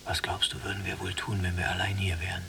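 An actor playing a yielding, resigned younger prisoner in a radio drama, with terse, dry phrasing. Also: male voice, sits low, completely flat delivery, fast, vocal fry. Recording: distant mic, noisy hum.

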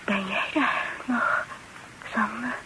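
An actress playing an ailing, remorseful daughter in a radio drama, speaking haltingly and faintly with a tearful weakness. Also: female voice, on the high side, fairly even intonation, bright, forceful tone. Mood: weak, confused. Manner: halting, faint, often whispering. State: weak, tearful. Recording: noisy old radio play recording.

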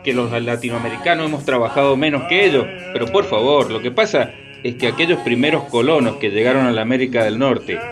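Actor as knowing, emphatic storyteller, medium pitch. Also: male voice, fairly quick, few pauses, over music, natural voice. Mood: corrective. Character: knowing, teasing male explainer correcting a naive friend. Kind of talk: radio theatre dialogue, storytelling.

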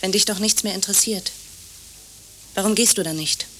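A jealous young woman in a radio drama, played by an actress, with a bright, cutting voice at medium pitch. She is blunt and reproachful, pressing her grievances in pointed phrases. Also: moderate pace, a little hiss or hum, natural voice. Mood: cold, resentful. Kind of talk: radio play dialogue acting.

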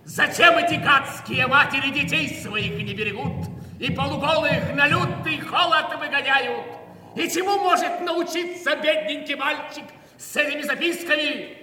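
An actor playing an anguished poor man, declaiming a theatrical monologue with great passion, swinging from hushed words to cries. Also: very high-pitched, moderate pace, full character voice. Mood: anguished, impassioned. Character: anguished poor man bewailing a starving beggar child. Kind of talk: theatrical dramatic monologue in an audio play. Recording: echoey hall, distant mic, music behind.

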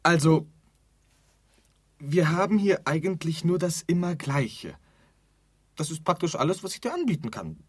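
An actor playing an offhand, forgetful keeper of an empty afterlife in a radio drama, rambling and groping for words. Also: male voice, fairly high pitch, very animated, a little slow, pauses often, slightly rough, no echo, full character voice. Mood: hesitant, matter-of-fact. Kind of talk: theatrical radio drama dialogue.

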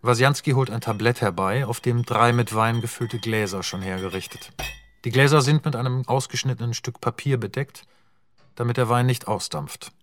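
A man narrating stage directions in a radio drama, reading in a matter-of-fact, even way. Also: mid-range voice, normal speed, a little hiss or hum. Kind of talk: scripted narration.

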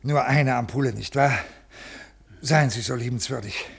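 A man acts a stiff, agitated bureaucrat in a 1950s radio drama. His mid-pitched voice is gravelly, and he speaks in halting, formal, pleading phrases. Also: close to an everyday voice. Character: stiff, agitated male civil servant with diabetes, pleading. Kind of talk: 1950s German radio play acting. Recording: 1950s radio studio recording.

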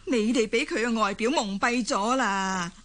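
A woman's voice: fairly high pitch, a little slow, fairly bright tone. An actress playing a stern, vengeful old grandmother in a radio drama, accusing and stubborn, with a Hong Kong Cantonese accent. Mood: grimly certain.

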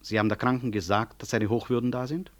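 A man acting in a 1960s radio drama as a middle-aged medical professor, courteous but unyielding, formal and precise in speech, with gentle irony. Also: mid-range voice, fairly even intonation, quick pace, lightly acted. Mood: pressing.

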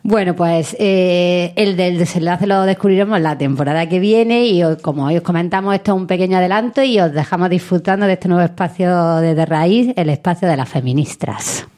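Woman radio host, bit of a low voice, chatty presenting. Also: a little fast, hardly any pauses, dark tone.

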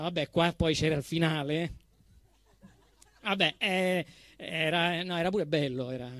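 Male actor as stage monologuist, slow and dry-recorded, mock-poetic, impassioned, slipping into street talk. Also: on the high side, vocal fry, no echo. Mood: dismissive, sheepish. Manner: slow, declamatory, slipping into colloquial asides. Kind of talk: theatrical comic monologue.

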